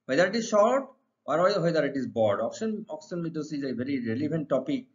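Middle-aged male teacher, Indian accent, highish animated voice, didactic and emphatic, dull lo-fi sound. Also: brisk. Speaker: English teacher. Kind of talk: exam lesson, reading questions and explaining answers. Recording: dull lo-fi recording.

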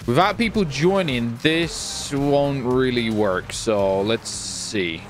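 A man giving casual, excited commentary on his gameplay, chatty and often repeating his phrases. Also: mid-range voice, takes it slowly, faint music behind. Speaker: gaming video host and player.

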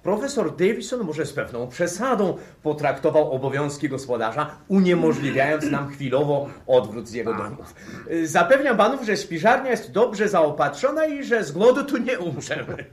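An actor playing a flippant, unruffled scientist with a teasing manner, in 1960s radio drama style. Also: male voice, fairly high pitch, sing-song, normal speed. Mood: placating. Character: breezy, flippant male scientist fond of cognac.

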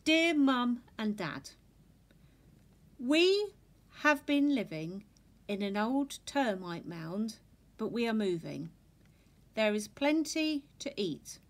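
An eager young boy meerkat voiced by a woman shares letters home in a storybook. The voice is raised and emphatic, with an earnest, unhurried delivery. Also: on the high side, big pitch swings, exaggerated voice. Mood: chatty.

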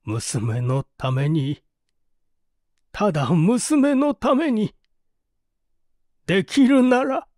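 A man giving a dramatic literary reading of a mystery story in measured, deliberate phrases with pauses, close to the microphone. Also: sits high, expressive, darkish tone, no room sound. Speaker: audiobook narrator, small-theatre actor.

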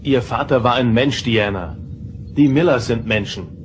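A man's voice: mid-range voice, normal speed, faint music behind, close to an everyday voice. A man playing a concerned, subdued starship first officer in a German radio play. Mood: questioning.

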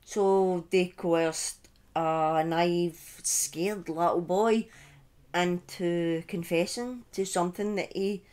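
Slow-talking middle-aged woman telling a story. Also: lowish voice, fairly even intonation, a little mellow. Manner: deliberate, pausing, emphatic repetition. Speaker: livestream host telling a true-crime story. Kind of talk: informal true-crime storytelling to a live chat. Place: small indoor room at home. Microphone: close desk microphone on a boom arm.

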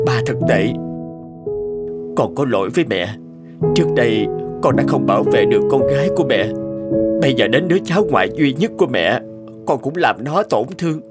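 Man acting remorseful widowed father over loud music. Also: mid-range voice, animated, normal speed, long pauses, close to an everyday voice. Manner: heavy-hearted, apologetic. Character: remorseful widowed father, gruff but loving. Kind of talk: animated family drama acting.

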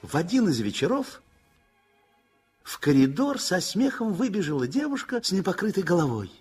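An older man narrating a 1960s radio play in an expressive storytelling manner. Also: sits high, moderate pace, breathy edge. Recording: old radio play with faint music.

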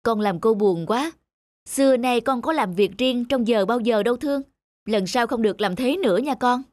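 A woman voicing a young, kindly schoolteacher in a cartoon, measured and tender. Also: on the high side, dry recording, lightly acted. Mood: mildly reproachful. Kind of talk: cartoon voice acting. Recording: loud music behind the voice.

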